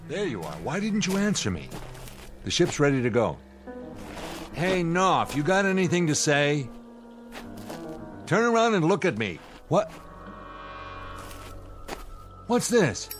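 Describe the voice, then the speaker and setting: An actor playing a blunt, sardonic spaceship commander in a radio drama, with a commanding, scornful, plain-spoken manner. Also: male voice, fairly high pitch, very animated, measured pace, pauses often, faint music behind. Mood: urgent, demanding.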